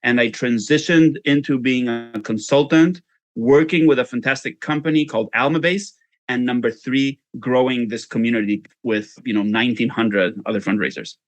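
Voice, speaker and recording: A man's voice: mid-range voice, moderate pace, no room sound. A man from Spain with a Spanish accent, answering interview questions in an informal, self-deprecating way. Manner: informal, self-deprecating, frequent "you know". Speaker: interview guest, fundraising consultant.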